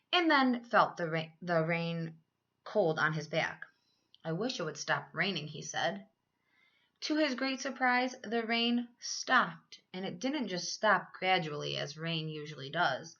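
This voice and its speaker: Bright-voiced woman reading a children's storybook. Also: on the low side, lo-fi sound. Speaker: schoolteacher reading aloud. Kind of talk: animated children's storybook read-aloud.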